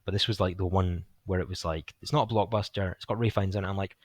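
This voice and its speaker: Low-voiced young man, rambling and hoarse. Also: steady tone, fast talker. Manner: rambling, filler-heavy, swears casually. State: voice getting hoarse. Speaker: podcast host. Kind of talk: casual film chat.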